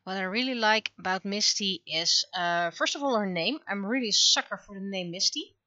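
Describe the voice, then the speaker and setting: A woman's voice: medium pitch, normal speed, pushed and bright, no echo, muffled recording. A woman chatting casually on a livestream while she draws, musing half to herself and trailing off. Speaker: livestreaming webcomic artist.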